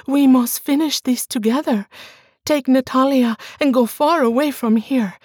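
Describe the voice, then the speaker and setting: Scared young woman, voiced by a woman, high and strained, tearful begging, husky. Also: unhurried, a little mellow, dry recording, studio quality. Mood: pleading. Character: frightened young woman pleading with her lover.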